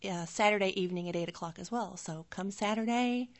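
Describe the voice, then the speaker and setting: A woman gives event details in an informative, announcing way. She pauses on soft fillers between items. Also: medium pitch. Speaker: event promoter.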